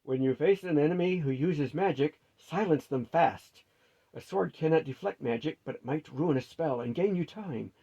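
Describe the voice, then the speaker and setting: A man voicing a stern combat mentor in a storybook, instructing in an emphatic, deliberate way. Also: on the high side, mellow, dull audio.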